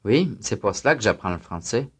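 Lowish male voice answering language drills, careful full sentences. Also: animated, fairly quick, husky. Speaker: student voice and exercise announcer. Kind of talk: scripted language drill responses.

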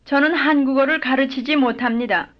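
A woman giving careful, measured full-sentence answers as the model speaker in a recorded language drill. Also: high-pitched, fairly even intonation. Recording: dull lo-fi old lesson tape.

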